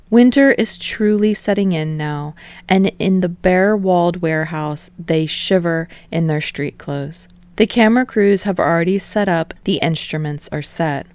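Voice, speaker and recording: Low, creaky woman narrating a story. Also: darkish tone, dry recording. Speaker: audiobook narrator of fan fiction.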